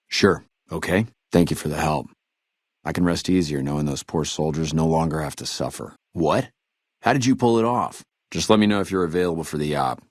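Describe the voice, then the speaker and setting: An actor playing a weary, dutiful army trooper in a video game, speaking in a measured, earnest soldierly way. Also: male voice, very deep voice, no room sound, clean studio sound. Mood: amazed. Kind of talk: video game dialogue acting.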